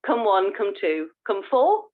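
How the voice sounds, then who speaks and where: A woman's voice: mid-range voice, very animated, measured pace, narrow, tinny audio. A woman co-hosting a podcast, chatting casually in a playful, informal way. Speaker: podcast co-host. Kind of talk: casual chat.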